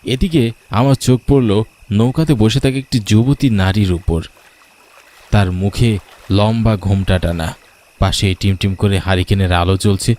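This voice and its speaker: A man's voice: lowish voice, warm tone. A man narrating a scripted first-person horror story in a dramatic, suspenseful way.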